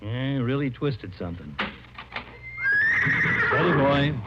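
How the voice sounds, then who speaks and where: Casting: folksy small-town sheriff in radio western, noisy dull vintage sound. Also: male voice, medium pitch, lively intonation, slow pace. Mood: matter-of-fact. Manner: folksy, laconic. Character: easygoing rural Western sheriff, folksy and laconic. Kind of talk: 1950s radio acting.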